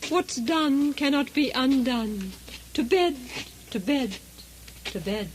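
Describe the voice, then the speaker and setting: A woman's voice: high voice, animated, takes it slowly, a little hiss or hum. A woman playing a mad, sleepwalking noblewoman with a dreamy, theatrical delivery in a radio play. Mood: haunted, trancelike. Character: Lady Macbeth, sleepwalking madwoman, haunted and theatrical. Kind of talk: comic radio drama acting.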